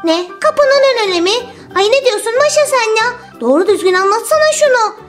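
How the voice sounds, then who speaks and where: A woman's voice: very high-pitched, big pitch swings, normal speed, loud music behind the voice. A woman voicing a caring, bossy big sister who scolds affectionately in a children's toy story. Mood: puzzled, scolding.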